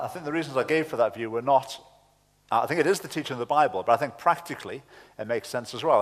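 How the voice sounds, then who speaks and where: A man in a public debate, arguing in a measured, reasoned way. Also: medium pitch. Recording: long hall reverb, off mic.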